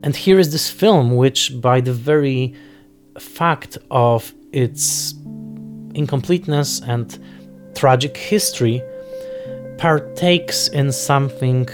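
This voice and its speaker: Thoughtful man commenting over soft music. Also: mid-range voice. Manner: thoughtful, halting, searching for words. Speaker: film commentator interviewed. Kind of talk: reflective documentary interview commentary.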